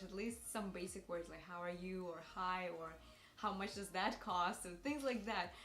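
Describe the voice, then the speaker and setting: A woman's voice: on the low side, fairly bright tone, echoey room. A young woman from Russia with a Russian accent, co-hosting a casual advice vlog and explaining fluently. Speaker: vlog co-host.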